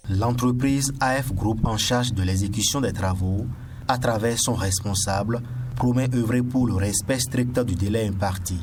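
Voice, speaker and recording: A man's voice: lowish voice, normal speed. A man reading a scripted TV news report as the reporter. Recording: noisy voice-over with ambient sound.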